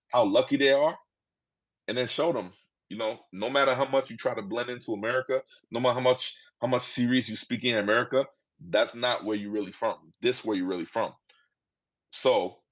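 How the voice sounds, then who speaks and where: A man with a Liberian accent, talking casually to his viewers and acting out quick back-and-forth exchanges. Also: fairly low pitch. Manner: animated, acts out quick dialogues in put-on voices. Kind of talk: casual monologue to camera.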